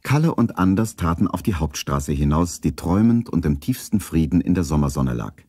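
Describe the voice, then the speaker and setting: A man narrating a children's radio play as a calm storyteller. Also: deep voice, brisk, breathy edge, no echo, soft background music, full character voice. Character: calm adult male storyteller.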